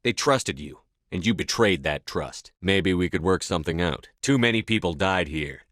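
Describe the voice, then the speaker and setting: A commanding military hero from a sci-fi video game. His voice is low and recorded dry and close. He speaks in blunt, clipped phrases with stern authority and steady resolve. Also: moderate pace, dry recording. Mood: accusing, resolute. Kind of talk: video game dialogue acting.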